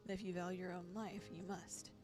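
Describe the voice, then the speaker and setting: A woman reading as the theatrical narrator of a horror visual novel, deliberate and foreboding. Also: on the low side, little pitch movement, lightly acted. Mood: dramatic, foreboding. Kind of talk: dramatic second-person storytelling. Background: music.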